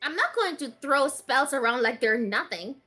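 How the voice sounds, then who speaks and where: A woman voicing a playful, pouty, clingy young female mage from an anime visual novel, excitable and stubborn. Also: high-pitched, moderate pace, soft background music, exaggerated voice. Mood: defensive, pouty.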